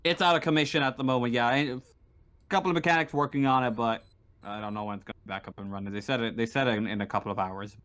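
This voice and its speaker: A man's voice: medium pitch, fairly even intonation, racing, a bit bright, clean tone. Man hesitantly running a tabletop game. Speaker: game master. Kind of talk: narrating a tabletop game, casual improvising. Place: online voice call.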